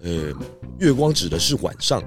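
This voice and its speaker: A man playing a confident, thoughtful pig detective in a children's audio drama, explaining clues deliberately. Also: fairly low pitch, very animated, faint music behind.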